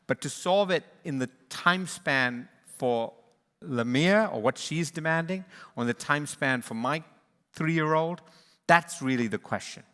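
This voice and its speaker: Male moderator, earnest and reflective, bright forceful voice with long hall reverb. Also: a little high, unhurried, clean tone. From born in Sri Lanka, raised in East and West Africa. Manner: earnest, reflective, with frequent restarts and fillers. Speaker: panel moderator, conservation charity leader. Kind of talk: closing remarks at a livestreamed panel.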